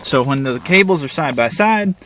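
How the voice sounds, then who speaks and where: A man's voice: on the high side, animated, normal speed, a bit bright, slight background noise. A man giving a casual hands-on how-to demonstration, talking in a plain, folksy, informal way. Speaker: amateur how-to presenter. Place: in and around parked vehicles.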